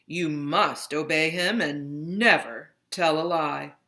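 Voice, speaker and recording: Woman acting a firm, forceful male master. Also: low voice, slow pace, exaggerated voice. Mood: firm, commanding. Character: ailing male slave master, commanding.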